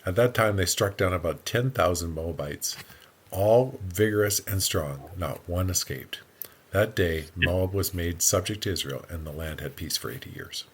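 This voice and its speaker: A man's voice: deep voice, moderate pace, breathy edge. A man co-hosting a podcast, reading scripture aloud and dropping in wry, joking asides in a casual way. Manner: jokey, wry.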